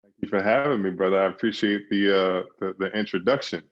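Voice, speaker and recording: A man's voice: low voice, a little fast, lo-fi sound. A man talking as a guest on a podcast, answering in emphatic bursts with repeated words and restarts. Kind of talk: conversational interview answers.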